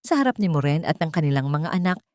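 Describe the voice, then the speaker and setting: A woman's voice: low-pitched, animated, fast talker, a little mellow, no echo, clean studio sound. A woman narrating a scripted dramatic audiobook story.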